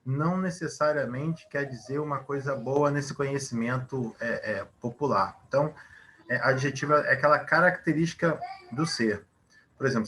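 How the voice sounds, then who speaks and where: A man's voice: on the high side, fairly bright tone, a little hiss or hum. Man teaching grammar with filler words. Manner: explanatory, many fillers, rhetorical questions. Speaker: Portuguese teacher. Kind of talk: online grammar lesson.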